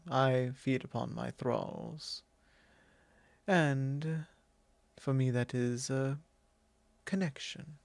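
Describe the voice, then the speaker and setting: A man playing a possessive, genteel vampire lord in an ASMR roleplay, speaking formally and haughtily to the listener with frequent pauses. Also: medium pitch, vocal fry, darkish tone, dry recording. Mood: possessive, stern. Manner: measured, formal, haughty, pausing often. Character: possessive, genteel male vampire lord, commanding and self-assured.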